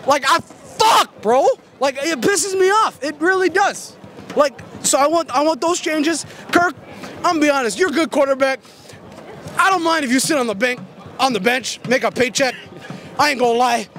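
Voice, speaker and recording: A man's voice: very high-pitched, fairly bright tone, slight background noise. A young man, a sports fan, ranting furiously and profanely in a street interview at night, into a handheld mic. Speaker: fan interviewed after a game. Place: city street at night outdoors. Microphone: handheld mic held by interviewer.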